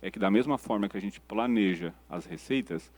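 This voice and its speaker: A Brazilian man with a slightly low voice explains things at a quick pace. He sounds practical and colloquial, like a guest adviser in a casual interview. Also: a little mellow. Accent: Brazilian Portuguese.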